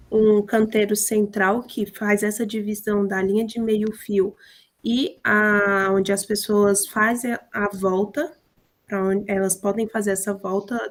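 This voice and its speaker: A hoarse woman student explaining her project's drawings in a steady way. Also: medium pitch, a little slow. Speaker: architecture student. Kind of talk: class project presentation.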